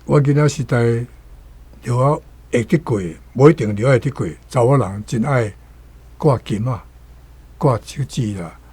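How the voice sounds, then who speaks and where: Older man, Taiwanese accent, casual chat, mellow husky voice, dry close sound. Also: mid-range voice, a little slow, no echo. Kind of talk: casual reminiscing talk.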